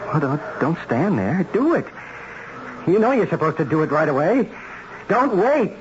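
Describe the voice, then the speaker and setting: Through the dull, noisy sound of an old radio broadcast comes a haunted hitman with a higher-set voice. He stammers and repeats himself, desperate and resigned, in radio drama style. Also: big pitch swings. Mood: resigned, pleading. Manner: halting, stammering, repeating words. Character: haunted, guilt-ridden hitman who drinks, desperate and resigned.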